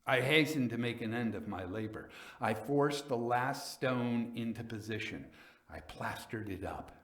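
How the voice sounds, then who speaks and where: A man playing a proud, vengeful aging murderer recounting his crime in a theatrical, deliberate gothic storytelling style. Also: mid-range voice, reverberant, lightly acted. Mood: grim, grave. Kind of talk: dramatic reading of gothic tale.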